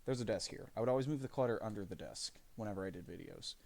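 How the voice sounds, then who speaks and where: A man live streaming from his home desk, his voice raspy from phlegm, rambling casually with many fillers and restarts. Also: lowish voice, quick pace, darkish tone. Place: desk corner of a home living room.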